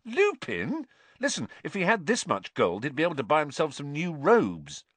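A man reads an understated teenage boy wizard for an audiobook. He sounds casual and matter-of-fact, though his pitch moves freely. The recording is dry. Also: wildly swooping pitch, moderate pace, fairly bright tone, husky, no echo, heavily acted. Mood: amused.

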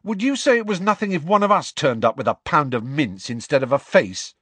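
A man's voice: medium pitch, big pitch swings, a little slow, bright, forceful tone, slightly rough, no room sound, voice pushed up, full character voice. A man voicing a blunt, incredulous teenage boy with colloquial speech in a storybook. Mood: incredulous, insistent.

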